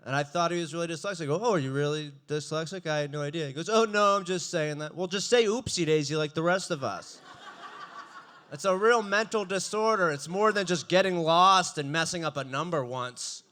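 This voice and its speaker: A young man doing a stand-up comedy set, with a dry, deadpan delivery that breaks into laughs. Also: a little high, fairly quick.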